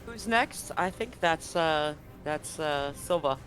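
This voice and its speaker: A game master running a live tabletop horror game, joking with players and slowing down to narrate scenes. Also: medium pitch, faint music behind. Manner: relaxed, joking, slows for vivid scene narration. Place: home setup, streamed live.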